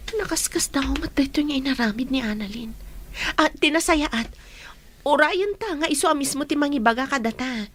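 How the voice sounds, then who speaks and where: A woman's voice: high voice, expressive, moderate pace, a bit bright. A woman playing a frank, skeptical young woman in a radio drama, who doubts and presses her friend. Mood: earnest.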